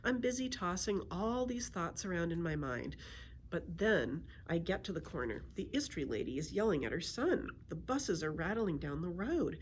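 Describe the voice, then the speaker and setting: Lady reading a kids book out loud, really animated pitch, bit of noise in the background. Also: normal speed. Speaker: library story reader. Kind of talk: expressive children's book read-aloud.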